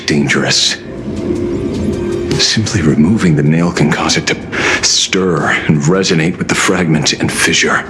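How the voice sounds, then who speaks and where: An actor playing a weary, brooding space ranger in a video game, wry and courteous, speaking with deliberate care. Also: male voice, extremely low pitch. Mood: grave warning. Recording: loud music behind the voice.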